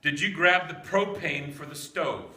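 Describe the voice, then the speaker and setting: A man playing a frantic middle-aged father obsessed with survival in a staged script reading, theatrical and prone to shouting in bursts. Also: fairly high pitch, lively intonation, unhurried, echoey room, exaggerated voice. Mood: earnest.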